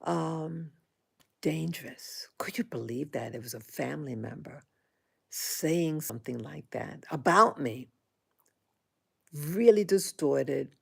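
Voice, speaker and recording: A woman in her sixties talking into her own vlog, halting and indignant, with frequent restarts and fillers. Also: low-pitched, expressive, vocal fry, slightly rough. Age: 60s. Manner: aggrieved, indignant. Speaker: vlogger. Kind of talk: personal monologue vlog.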